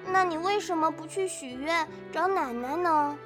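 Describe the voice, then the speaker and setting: A woman's voice: very high voice, moderate pace. A woman playing a curious, earnest little child in an audio drama, full of questions. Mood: curious, pleading. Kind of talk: Chinese audio drama acting. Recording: background music behind the voice.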